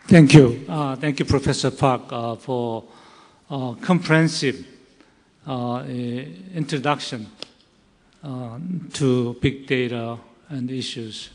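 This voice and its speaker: A man chairing a conference session, introducing speakers formally in a halting way, searching for his words. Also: medium pitch, fairly even intonation, darkish tone. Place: large conference hall. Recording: echoey hall with long reverb.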